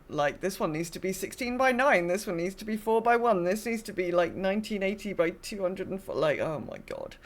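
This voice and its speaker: A woman hosting a podcast, chatting casually in a rambling, wryly exasperated way. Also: on the low side. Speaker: podcast host. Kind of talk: casual chat.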